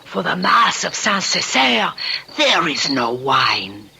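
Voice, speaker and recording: An actress playing a sinister, commanding peasant woman in a radio drama, speaking in a hushed, deliberate, dramatic manner. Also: lowish voice, sing-song, gravelly, breathy edge, heavily acted. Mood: hushed, ominous. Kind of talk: old-time radio drama acting. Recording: noisy old radio drama recording.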